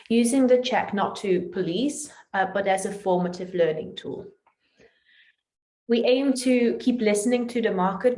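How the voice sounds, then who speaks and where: A woman's voice: medium pitch, darkish tone. A woman from the Netherlands, a native Dutch speaker with a Dutch accent, giving a prepared explanation in an online presentation as a company manager. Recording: roomy, echoing voice.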